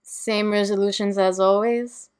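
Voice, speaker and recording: A woman's voice: mid-range voice, fairly even intonation, unhurried, a little mellow, smooth, close to an everyday voice. A woman playing a brash, sarcastic, carefree young party lover in an audio drama, with casual, salty, sardonic delivery. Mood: breezy, playful.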